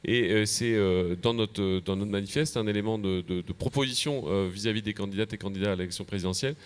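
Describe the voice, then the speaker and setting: Fast-talking, low-pitched Frenchman presenting policy. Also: smooth. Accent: French from France. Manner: halting, repeats words. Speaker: association official presenting a policy manifesto. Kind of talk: semi-prepared conference panel presentation. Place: convention plenary session.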